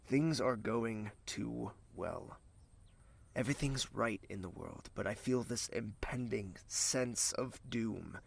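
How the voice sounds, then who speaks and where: Commanding, guarded prince, played by a male actor: audio drama, measured and unhurried, gravelly. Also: lowish voice, full character voice. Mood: foreboding. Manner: measured, terse. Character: brooding, commanding young prince, calm and guarded.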